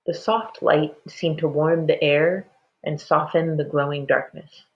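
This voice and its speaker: A woman reading a children's story aloud in an expressive, dramatic, deliberate way. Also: low-pitched, lo-fi sound.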